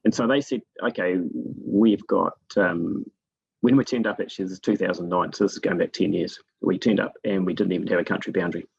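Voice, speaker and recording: A man from New Zealand with a gravelly, husky voice, telling his story conversationally as an interview guest. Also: deep voice, steady tone, fairly quick, muffled recording. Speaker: guest expert in forest monitoring.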